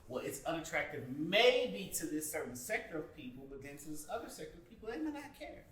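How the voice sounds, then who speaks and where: A man's voice: a little high, very animated, fairly quick, fairly bright tone. A man giving candid advice in a group discussion, speaking in an emphatic, sassy manner. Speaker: panelist. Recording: roomy, distant mic, faint background noise.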